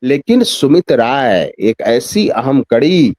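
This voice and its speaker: A man's voice: mid-range voice, sing-song, slow pace, darkish tone, no room sound, studio quality. A man speaking as a journalist guest in a news discussion, giving emphatic political commentary with repeated phrases and rhetorical questions.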